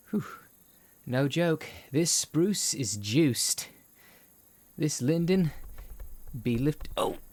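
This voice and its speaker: A man's voice: on the high side, no room sound, heavily acted. A man playing an eager, kindly young student with octopus tentacles, folksy and hesitant in an audio roleplay. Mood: straining. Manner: folksy, hesitant, corny joking. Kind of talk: character acting in an ASMR audio roleplay.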